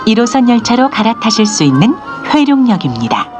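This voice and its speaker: A woman's voice: a little high, big pitch swings, brisk, over loud music. Lady doing polite train announcements, sing-songy, heard over the train speaker. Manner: formal, polite, evenly paced. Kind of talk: recorded transit announcement. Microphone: recorded on a handheld device inside the train. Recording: onboard speaker with chimes.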